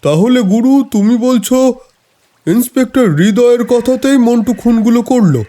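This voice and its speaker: Sceptical high-voiced senior official. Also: male voice, normal speed, warm tone. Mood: probing. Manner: inquisitive, doubting. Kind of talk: Bengali horror audio drama acting.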